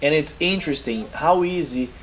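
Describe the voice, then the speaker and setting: A young man from Brazil with a Brazilian accent, a native Portuguese speaker, narrating a casual vlog in earnest, slightly halting English. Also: a little high, a little hiss or hum. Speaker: gaming YouTuber.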